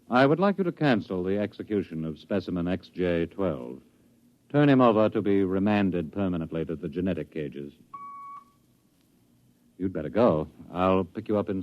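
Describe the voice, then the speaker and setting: Deep-voiced, smoothly controlling official. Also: male voice. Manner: measured, patronizing, formal. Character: cold, smooth, controlling male director of a dystopian bureau. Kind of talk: 1950s radio drama acting. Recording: muffled vintage radio recording.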